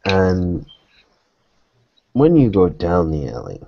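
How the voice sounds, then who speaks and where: A man acting as game master, narrating a tabletop roleplaying scene in halting short phrases. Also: sits low, wildly swooping pitch, creaky, highs cut off, a little hiss or hum.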